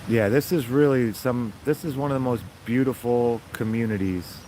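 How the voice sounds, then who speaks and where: A man with a raspy voice, casually chatting to his viewers in a rambling, upbeat way. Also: medium pitch, moderate pace, mellow, slight background noise. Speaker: vlogger.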